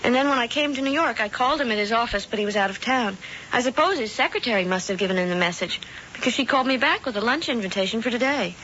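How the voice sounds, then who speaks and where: A woman's voice: on the high side, animated, fast, bright, forceful tone, a little hiss or hum. A sweet, flustered young woman. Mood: earnest. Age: young adult. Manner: polite, flustered, stammering. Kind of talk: 1970s radio drama acting. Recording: dull old radio broadcast with music.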